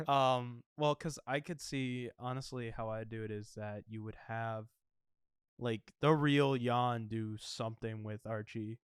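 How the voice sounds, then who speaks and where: Casual man with clear, close-up voice. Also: medium pitch, fairly even intonation, slow, dry recording. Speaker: film podcast host. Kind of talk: casual film discussion.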